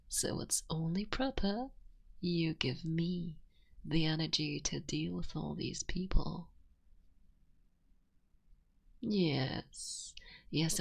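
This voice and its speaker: An affectionate kitsune witch acted by a woman speaks very slowly in a low-leaning, heavily creaky voice. She slips between soft talk and breathy whispers, intimate and playful with a wistful side, as in an ASMR roleplay. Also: full character voice. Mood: teasing, affectionate.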